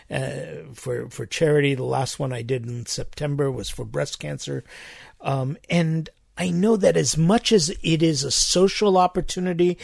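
Gravelly male interview host. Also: on the high side, no echo, studio quality. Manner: warm affirmations, long rambling questions. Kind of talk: interview hosting.

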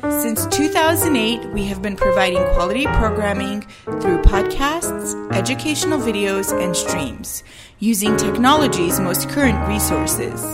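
Woman announcer over loud piano. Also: mid-range voice, a little slow. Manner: smooth, even, read-out delivery. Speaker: podcast announcer. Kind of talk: scripted donation appeal. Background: loud piano music.

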